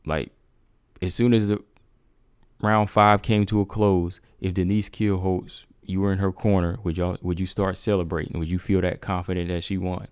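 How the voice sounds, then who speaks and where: A man's voice: low voice, little pitch movement, normal speed, darkish tone, clean tone, no echo, studio quality. A man hosting a casual MMA livestream, asking his viewers rhetorical questions. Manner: talks straight to viewers, asks rhetorical questions. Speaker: MMA talk show host.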